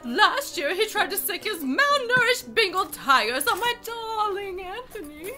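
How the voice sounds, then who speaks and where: A woman's voice: very high voice, big pitch swings, a little slow, pushed and bright, faint music behind, exaggerated voice. A woman playing a melodramatic, aggrieved older mother in a comic radio play, wailing her complaints.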